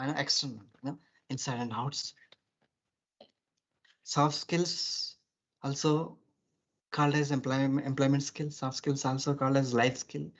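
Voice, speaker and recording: A man's voice: on the high side, fairly bright tone. Brief: male teacher, rambling lecture full of "you know", dull lo-fi sound. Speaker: soft skills teacher. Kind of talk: online class lecture. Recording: dull lo-fi audio.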